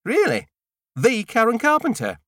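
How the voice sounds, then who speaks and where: A man's voice: very high voice, wildly swooping pitch, moderate pace, fairly bright tone, no echo, studio quality, voice pushed up, heavily acted. A man voicing a breezy, slightly guarded woman, a new neighbour in an audiobook, light and matter-of-fact. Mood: brisk, curious.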